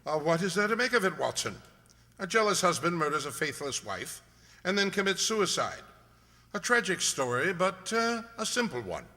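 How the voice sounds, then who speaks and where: A man playing a composed, analytical gentleman detective, measured and polite, in an amateur radio drama. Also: sits high, big pitch swings, exaggerated voice. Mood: dry. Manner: measured, polite, dryly authoritative. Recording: long hall-like reverb.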